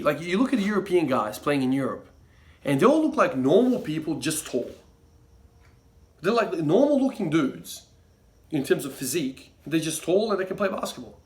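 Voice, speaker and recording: A young man, talking to camera about his views. He rambles loosely, with plenty of fillers, false starts and trailing asides. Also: on the high side. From Croatia. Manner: rambling, many fillers and restarts. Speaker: fitness vlogger. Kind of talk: casual monologue to camera.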